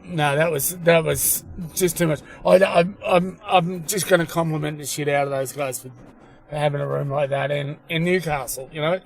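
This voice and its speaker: A man's voice: normal speed, gravelly. A middle-aged Australian man, a comedian chatting casually and telling anecdotes in slangy, sweary language. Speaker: stand-up comedian. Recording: noisy in-car recording, traffic rumble.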